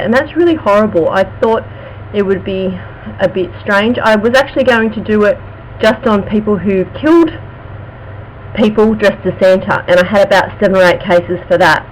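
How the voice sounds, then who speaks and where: Halting Australian woman live streaming. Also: medium pitch, slight background noise, poor audio. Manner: halting, with restarts and many fillers. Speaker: true crime channel host. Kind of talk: casual live stream chat.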